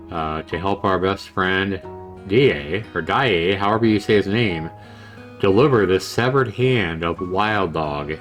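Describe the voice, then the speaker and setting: A man's voice: sits low, a bit bright. A man giving chatty, casual commentary on a video game and reading its story aloud. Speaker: game reviewer and streamer. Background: game music.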